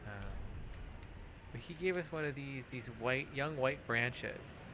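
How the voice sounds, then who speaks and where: A man giving casual, halting commentary on a video game, explaining as he goes and repeating words. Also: mid-range voice. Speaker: let's play podcast host. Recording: clear background noise and game ambience.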